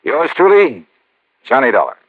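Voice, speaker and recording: An actor playing a wry, quick-witted American investigator, both narrating and acting in 1950s noir radio style. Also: male voice, mid-range voice, wildly swooping pitch. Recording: noisy, dull vintage radio recording.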